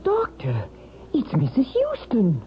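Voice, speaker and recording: An actress playing a concerned, deferential hospital nurse in a vintage radio drama, speaking matter-of-factly. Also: female voice, fairly high pitch, wildly swooping pitch, slow, exaggerated voice. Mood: startled, deferential. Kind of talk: 1970s radio drama acting. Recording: dull, noisy vintage radio recording.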